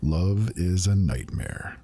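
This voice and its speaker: A man voicing a sorrowful, lovelorn male traveler who speaks slowly in mournful, old-fashioned phrasing. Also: low-pitched, warm tone, slight background noise, voice pushed down. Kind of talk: storytelling.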